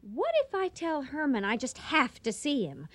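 An actress playing an excitable, vengeful restaurateur in a radio comedy, with a flustered and emphatic manner. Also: female voice, sits high, normal speed, full character voice. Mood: conspiratorial. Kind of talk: 1980 radio theater comedy acting.

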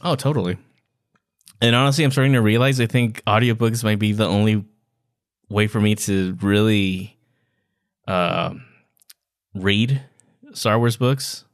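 A man with a fairly low voice, recorded close and dry. He chats casually as a podcast co-host, with a playful, teasing streak. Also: a little slow, pauses often, no room sound. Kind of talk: casual book-review chat.

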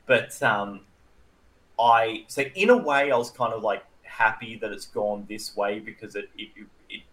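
An Australian man with a slightly low voice chats casually as a podcast co-host. His delivery is halting and careful, restarting as he works out how to phrase things. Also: a bit bright, rough recording. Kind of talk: casual conversational discussion.

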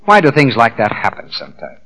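A man's voice: mid-range voice, wildly swooping pitch, a little fast, a bit bright, a little hiss or hum, rough recording. A man announcing a 1940s radio show, reading scripted sponsor copy in a period broadcast style.

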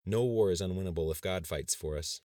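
A man's voice: sits low, fairly quick, dark tone, no room sound, clean studio sound, lightly acted. A man reading the part of a zealous, righteous male press secretary in an audiobook, preachy and certain. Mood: defiant. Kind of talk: dramatized audiobook dialogue.

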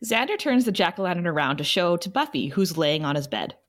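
A woman's voice: on the low side, sing-song, fairly quick. A woman hosting a podcast and narrating a table read in a casual, chatty style.

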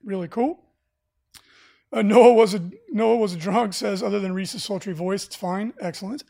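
A raspy-voiced man hosting a casual live stream for a game store, talking enthusiastically with lots of fillers. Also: high-pitched, normal speed, mellow. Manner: enthusiastic, rambling with many fillers. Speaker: livestream host for a game store.

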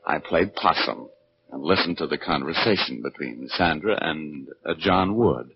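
Wisecracking 1940s radio private eye, swooping delivery. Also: male voice, a bass voice, animated, measured pace, breathy edge, heavily acted. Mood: wry narrating. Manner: wry, slangy. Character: wisecracking tough male private detective, narrating and scheming. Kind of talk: 1940s radio detective acting, first-person narration. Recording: dull 1940s radio broadcast.

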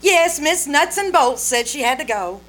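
Woman playing a high, animated, sarcastic radio wife. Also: moderate pace. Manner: sarcastic, theatrical. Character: jealous, sarcastic young wife in radio comedy. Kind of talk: old-time radio comedy acting.

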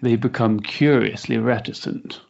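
An actor playing a restless, sensitive young Victorian gentleman in a stage play, hesitant and politely mannered. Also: mid-range voice, steady tone, a little slow, vocal fry, dull audio. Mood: amused, dry. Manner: hesitant, polite, mannered upper-class diction. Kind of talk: period stage-play acting.

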